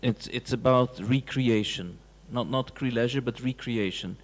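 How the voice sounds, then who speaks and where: Man, audience questioner, probing, stops and restarts, mid pitch, long hall reverb. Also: measured pace. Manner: probing, restarting his sentences. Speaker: audience member asking a question. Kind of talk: live public Q&A. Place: large lecture hall.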